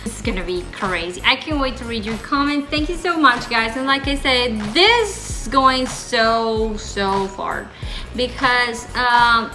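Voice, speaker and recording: A woman from Colombia with a Colombian accent and Spanish as her first language, chatting casually and excitably to viewers as a vlog host. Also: fairly high pitch, unhurried, faint music behind, rough recording.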